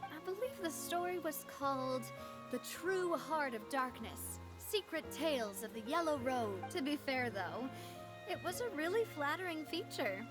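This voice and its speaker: A woman's voice: high voice, animated, measured pace. A woman playing a confident, candid young guild leader mourning her father in a video game. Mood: chatty, upbeat. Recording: loud game music underneath.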